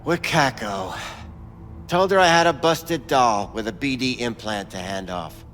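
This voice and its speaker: Smug, theatrical back-alley cyber doctor. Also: male voice, medium pitch, lively intonation, a little slow, a bit bright, long reverb tail, soft background music. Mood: defensive, dismissive. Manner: florid, theatrical wording, stammers when pressed. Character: smug, squirrelly back-alley cyber-implant doctor, evasive adult man. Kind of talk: video game voice acting.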